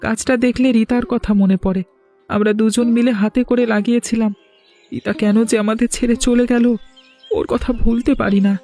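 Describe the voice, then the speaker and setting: A woman plays a sentimental village boy in a cartoon. The voice is fairly high and the temper gentle, with faint music behind it. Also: steady tone, brisk, natural voice. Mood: wistful. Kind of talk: cartoon dialogue acting.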